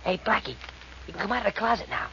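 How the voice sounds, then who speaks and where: Dim, eager radio sidekick, lively swooping voice. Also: male voice, high voice, vocal fry. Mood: pleased. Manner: naive, slangy, slow on the uptake. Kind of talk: 1940s radio acting. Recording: muffled, noisy old radio recording.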